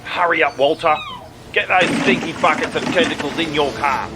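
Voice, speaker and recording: A man's voice: on the high side, moderate pace, heavy background noise. A man performing several characters in a comic radio serial.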